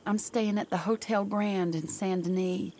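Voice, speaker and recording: A woman's voice: medium pitch, steady tone, moderate pace, warm tone, a little hiss or hum, natural voice. An actress playing a young woman reading her own pleading letter to a former love, in a video game voice-over. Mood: wistful. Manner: wistful, pleading.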